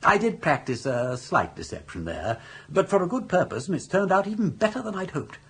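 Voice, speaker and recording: An actor playing a suave, roguish older gentleman with an upper-class English accent, speaking in flowery, persuasive phrases in a British radio comedy. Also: high voice, sing-song, fairly quick, husky, heavily acted. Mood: smooth, confiding.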